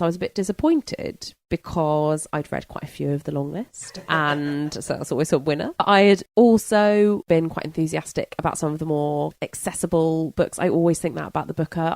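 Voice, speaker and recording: A woman podcast panelist with a slightly low voice in a dry, close recording. She chats casually and conversationally about books. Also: moderate pace, hardly any pauses, darkish tone, no echo. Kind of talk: casual book discussion.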